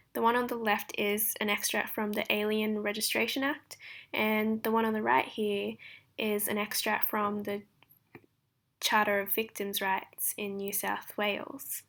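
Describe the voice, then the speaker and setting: Flat, gravelly-toned young woman presenting. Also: mid-range voice. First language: Japanese. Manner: formal, measured, read-through delivery. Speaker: translation student. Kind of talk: recorded class presentation.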